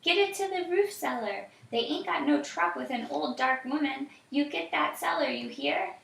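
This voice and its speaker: A woman's voice: high voice, normal speed, creaky, a bit bright, pitched above the performer's own voice, exaggerated voice. A woman voicing a protective, commanding old woman who barks urgent orders in dialect, heard in an echoey room from a distance.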